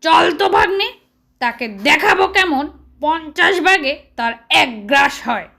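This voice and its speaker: A woman's voice: sits high, lively intonation, unhurried, vocal fry, pushed and bright, heavily acted. A woman voicing a proud, hot-tempered tiger uncle with emphatic bluster in a children's story. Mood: furious.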